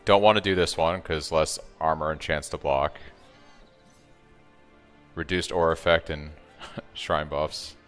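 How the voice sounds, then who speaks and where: A man's voice: a bass voice, steady tone, a little slow, long pauses, a bit bright. A man streaming a game, talking casually and thinking aloud as he answers chat, with a soothing voice. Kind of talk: casual live-stream commentary. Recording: long reverb, faint music behind.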